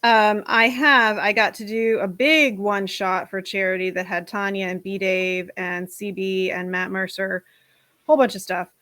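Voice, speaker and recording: A frank, animated woman chatting as a guest on a stream. Her voice sits at an ordinary middle pitch. The recording is dry and close, with almost no room sound. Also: unhurried, no echo. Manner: frank, outspoken and animated, swears casually. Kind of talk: casual chat on a stream.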